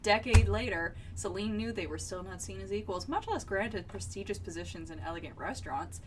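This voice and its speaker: A woman's voice: mid-range voice, animated, fairly quick. A woman co-hosting a book podcast, talking casually in a critical, emphatic, exasperated way and sometimes reading passages aloud. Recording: distant mic, faint background noise.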